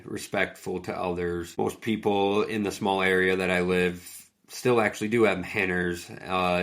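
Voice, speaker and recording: A young man talks through a solo podcast episode in a casual, earnest way, leaning on "like" as he goes. His voice is deep and gravelly, with little rise and fall. He is still getting over a cold. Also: fairly bright tone. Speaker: podcast host and fitness coach.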